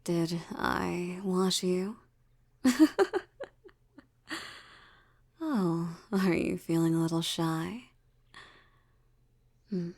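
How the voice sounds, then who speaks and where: A woman playing a haughty, predatory female vampire in intimate audio roleplay, teasing and condescending, with a breathy murmur that slips toward a whisper. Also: fairly low pitch, a little mellow, heavily acted. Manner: teasing, condescending, slow deliberate delivery.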